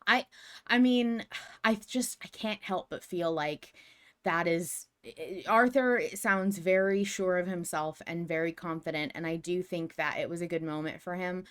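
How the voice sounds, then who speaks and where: Woman on a fan podcast, kinda lowish voice, chatty and casual, tossing theories around. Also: a little slow, fairly bright tone, clean tone. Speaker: podcast co-host. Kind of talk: casual fan discussion of an audio drama.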